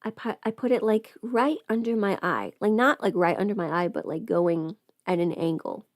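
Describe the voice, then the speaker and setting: A woman playing a chatty, playful streamer who rambles casually through her makeup routine, often slipping into hushed asides. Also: mid-range voice, normal speed, dry recording, studio quality, close to an everyday voice. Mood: focused, chatty. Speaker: livestreamer doing a get-ready-with-me makeup stream. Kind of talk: casual livestream chat, scripted audio roleplay.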